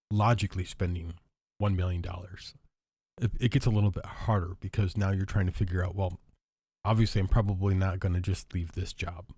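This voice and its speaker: A man hosting a casual solo talk, hoarse and stuffy after an illness, rambling and thinking aloud with lots of fillers and restarts. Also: deep voice, warm tone, no echo, clean studio sound. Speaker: show host.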